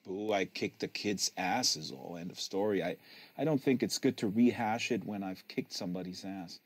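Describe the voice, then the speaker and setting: A man's voice: sits low, normal speed, husky, pitched below the performer's own voice. A man reading a novel, voicing a tired, patient, wry teenage older brother. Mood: weary, soothing.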